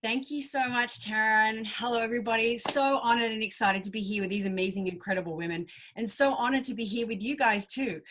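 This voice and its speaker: A woman's voice: a little high, a little fast, hardly any pauses, fairly bright tone, slight background noise. A woman in her thirties with an Australian accent, giving a bubbly, slangy talk as a fitness coach. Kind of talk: enthusiastic presentation.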